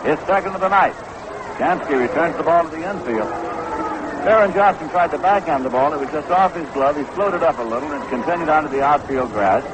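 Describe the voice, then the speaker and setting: A noisy vintage 1960s radio broadcast carries an American man calling baseball play-by-play. His voice sits a little high, and he follows the game in the classic radio commentary manner, with ballpark ambience around him. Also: brisk. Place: outdoor ballpark broadcast booth.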